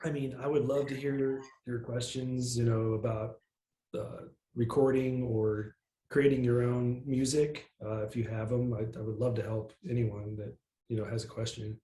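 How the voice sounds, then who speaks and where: A man with a nasal voice, a musician guest in a casual interview, talking in a relaxed, rambling way with plenty of fillers. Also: mid-range voice, moderate pace, roomy sound.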